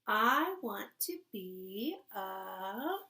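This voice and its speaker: A woman voicing a restless young male bunny from a storybook, speaking in emphatic, drawn-out declarations. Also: mid-range voice, very animated, reverberant, very noisy, voice pushed down, exaggerated voice. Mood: insistent, yearning. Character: restless young male bunny, discontented, wishing to be other animals.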